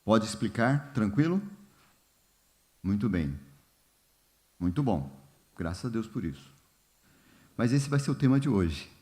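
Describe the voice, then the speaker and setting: A man leading a church Bible study in a relaxed, informal way, often pausing with fillers and asking the congregation questions. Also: on the low side. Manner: unhurried, many fillers and "né?", asks the congregation questions. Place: church hall.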